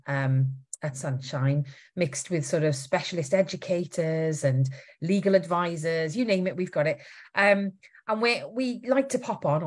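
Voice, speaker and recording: A woman from Wales with a faint Welsh accent, hosting a casual live stream, chatty and lively as she greets viewers and reads out their comments. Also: lowish voice.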